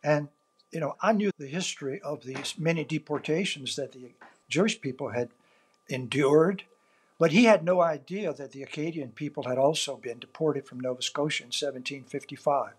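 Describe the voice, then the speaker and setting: An older man from South Louisiana speaks with a Cajun accent, his first language being Cajun French. His voice sits a bit high for a man and carries a husky edge. He answers questions in an earnest, reflective way, pausing between his thoughts. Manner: earnest storytelling, measured pauses. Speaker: author and interview guest.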